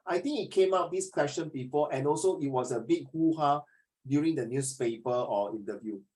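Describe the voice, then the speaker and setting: Singaporean guy teaching social studies, lively, rambling, mixes in mandarin. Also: a little high, fairly quick, low-quality recording. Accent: Singaporean English. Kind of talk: online class teaching.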